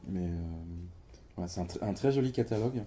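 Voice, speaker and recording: Low, dark-voiced man hosting hesitantly. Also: fast talker, a little hiss or hum. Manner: hesitant, searching for words, many "euh". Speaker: bookseller hosting the launch. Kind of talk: interviewing a guest at a live event. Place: bookshop event before an audience.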